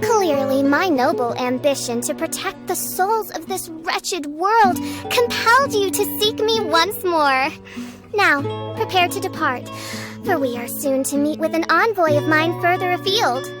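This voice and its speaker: Pompous, theatrical self-styled princess. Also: female voice, very high-pitched, animated, a little slow, music in the background. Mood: grand. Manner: pompous, grandiose, archaic wording. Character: theatrical teenage girl posing as a haughty princess. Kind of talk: video game character acting.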